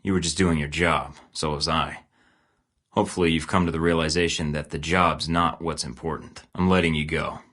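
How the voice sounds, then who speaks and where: A man's voice: extremely low pitch, steady tone, normal speed, a bit bright. An actor playing a blunt, sarcastic male spy agent in video game dialogue, with dry, clipped lines. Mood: terse.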